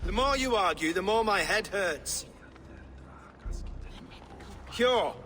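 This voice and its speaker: An impatient, commanding king with a high, bright and forceful voice. He cuts others off curtly, and faint noise sits behind him. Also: lively intonation, takes it slowly, exaggerated voice. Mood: irritable. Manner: curt, commanding. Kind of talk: video game cutscene acting.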